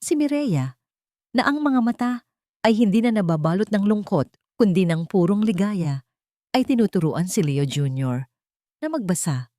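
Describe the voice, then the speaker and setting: A woman's voice: medium pitch, sing-song, moderate pace, pauses often, warm tone, no room sound, clean studio sound. A woman reading a scripted first-person dramatic story as an audio narrator.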